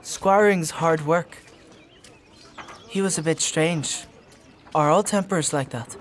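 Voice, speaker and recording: An actor voices an earnest young squire boy in a dark fantasy audio drama. He is plain-spoken, questioning and naive, with a light youthful voice. Clear ambience with animal and bird sounds runs behind him. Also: mid-range voice, slow, slight background noise, close to an everyday voice. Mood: casual, curious.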